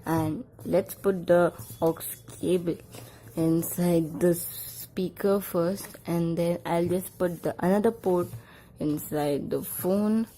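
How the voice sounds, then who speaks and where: A woman with a mellow, slightly low voice presents a casual product demonstration. She talks in brief, simple phrases and often repeats them as she points things out. The tone is relaxed and dark rather than sharp. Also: slow pace, slight background noise, low-quality recording. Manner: simple, repetitive short phrases while demonstrating. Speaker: product review vlogger.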